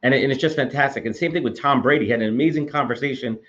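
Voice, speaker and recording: A man's voice: medium pitch, fairly bright tone, highs cut off. A man hosting a podcast, a little congested from a cold, talking eagerly and repeating words as he goes. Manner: rapid, enthusiastic, with frequent repeated words. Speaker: podcast host. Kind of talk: conversational interview.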